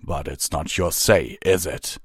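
A man voicing a large armored stallion captain in a storybook, stern but patient, measured and gently authoritative. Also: low voice, husky, no echo, clean studio sound, full character voice.

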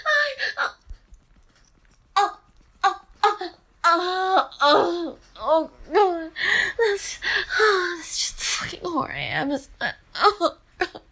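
Breathy, trembling woman, whispering slow. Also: very high voice, a bit bright. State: exhausted. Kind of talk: intimate erotic audio monologue. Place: in bed at night.

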